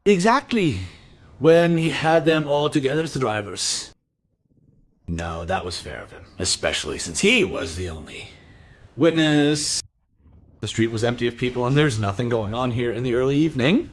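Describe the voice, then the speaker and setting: Animated, chatty male shopkeeper played by an actor. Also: medium pitch, moderate pace, close to an everyday voice. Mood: gossipy, animated. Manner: rambling, colloquial storytelling. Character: chatty, gossipy male tobacco shop keeper. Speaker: voice actor in a crime audio drama. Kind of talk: crime radio play dialogue.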